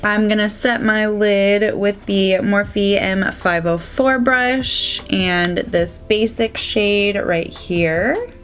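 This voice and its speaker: A young woman with a slightly congested, raspy voice chatting casually through a makeup tutorial. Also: medium pitch, steady tone, slow, faint music behind. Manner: chatty, drops to soft whispers at times. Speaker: beauty vlogger.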